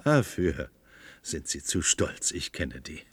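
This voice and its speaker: An actor playing a fanatical demagogue in a German radio play, oratorical and grandiose, stirring oppressed workers to revolt with emphatic, stretched stresses. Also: male voice, rumbling low, very animated, takes it slowly, slightly rough, no room sound, heavily acted. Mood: fervent, agitating.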